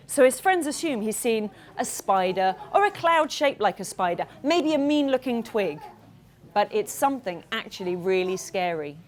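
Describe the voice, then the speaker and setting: A woman reading her storybook live to children in an animated, interactive way, pausing to address them and ask questions. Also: medium pitch. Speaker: children's author reading own book. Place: room with an audience of children.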